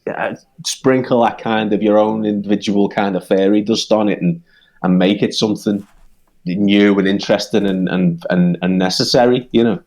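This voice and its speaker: A man from the United Kingdom, a songwriter guest in casual conversation, talking in halting bursts full of restarts and growing animated as he makes his point. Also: on the low side.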